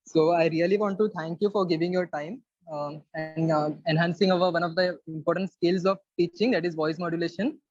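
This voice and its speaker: Young male student rep, Indian accent, raised pitch, courteous semi-formal thanks, dull online-call sound. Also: fairly even intonation, brisk, hardly any pauses, a little mellow, no echo. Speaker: student representative giving vote of thanks.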